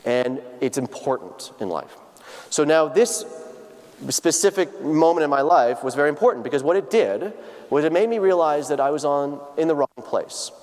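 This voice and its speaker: A man's voice: on the high side, lively intonation, normal speed, a little mellow. A man in his thirties giving a personal, earnest lecture to students, often restarting his sentences with fillers. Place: large lecture hall. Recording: long hall reverb.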